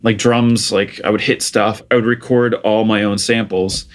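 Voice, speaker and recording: A man's voice: fairly low pitch, little pitch movement, moderate pace. A man in his thirties from Portugal with an American accent, a guest chatting casually about his start in music, with many "like" and "you know" fillers. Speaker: interview guest, music producer. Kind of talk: casual conversational storytelling.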